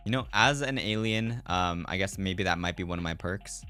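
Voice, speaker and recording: A man streams a casual, reflective chat in a very low voice with a bright edge. His pitch swings around a lot as he rambles and circles back over his own phrases. Music plays behind him. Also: moderate pace. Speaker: virtual streamer. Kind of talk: casual chat to live viewers. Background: background music.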